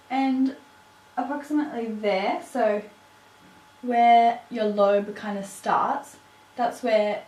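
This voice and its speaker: A woman with a raspy voice, a bit sick with a cough, presenting a makeup tutorial as a beauty vlogger. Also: on the high side, slight background noise. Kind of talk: scripted makeup tutorial narration. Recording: roomy echo, distant microphone.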